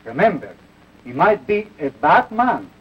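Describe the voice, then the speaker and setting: An actor playing a wary crook who humours a child in a coaxing way, in a 1930s film with muffled, noisy sound. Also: male voice, a little high, sing-song, measured pace, husky, full character voice. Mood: soothing, wary.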